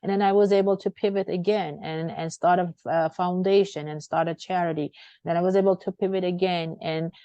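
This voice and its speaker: A woman's voice: on the low side, steady tone, brisk, dark tone, no room sound. A middle-aged woman from Armenia, talking as an interview guest in a rambling way with many fillers and restarts. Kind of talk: conversational interview. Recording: dull lo-fi audio.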